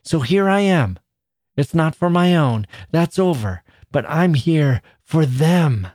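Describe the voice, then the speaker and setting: A man voicing a frail dying man who speaks softly and fervently in a storybook reading. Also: fairly high pitch, expressive, takes it slowly, no echo, studio quality, voice pushed up. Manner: soft, rapt and pleading.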